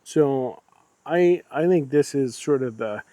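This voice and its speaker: Man, medium pitch, mellow relaxed tone, dry close sound, casual unscripted review, conversational and rambling. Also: slow, dry recording. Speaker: product reviewer and video creator.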